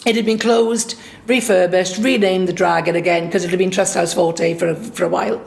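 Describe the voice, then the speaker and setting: A woman from Swansea with a Welsh accent, a singer and songwriter recounting a personal story in a lively, anecdotal way. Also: on the low side, a little fast, creaky. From Swansea, Wales. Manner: lively anecdotal, acts out quoted dialogue.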